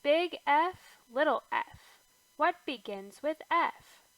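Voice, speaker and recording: A woman reading an alphabet book aloud to small children in a sing-song way, lifting her voice on each big letter and trailing off softly on the repeats. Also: sits high, expressive, slow pace, no echo, poor audio. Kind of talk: slow read-aloud for toddlers.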